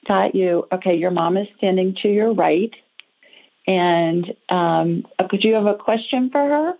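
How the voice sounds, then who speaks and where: A woman's voice: medium pitch, a little slow, vocal fry. A woman with an American accent hosting a call-in psychic show, talking in a chatty, informal, reassuring way on lo-fi audio. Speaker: host, psychic call-in reader. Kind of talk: conversational call-in show readings. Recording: dull lo-fi audio.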